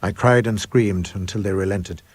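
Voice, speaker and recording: A middle-aged man narrating a supernatural tale as a scripted dramatic reading, measured and suspenseful. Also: low-pitched, fairly even intonation, dry recording. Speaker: audiobook narrator.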